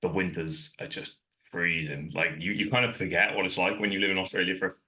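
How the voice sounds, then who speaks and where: Very deep-voiced, bright-toned Welsh man. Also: a little fast, poor audio. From Wales. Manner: relaxed and candid, rambling with fillers. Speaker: podcast guest, fitness coach. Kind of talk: casual chat. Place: in Ireland, remote.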